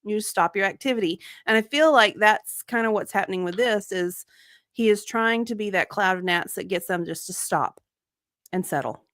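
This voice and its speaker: A woman giving her opinions in casual co-host chat, explaining her thoughts in a deliberate, everyday way. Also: medium pitch, fairly bright tone, no echo, clean studio sound. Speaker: co-host commentator.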